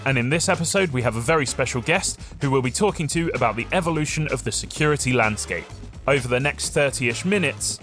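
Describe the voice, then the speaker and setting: Brisk male podcast host. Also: medium pitch, fairly bright tone. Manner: brisk, friendly, presenter-like. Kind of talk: scripted intro and casual chat.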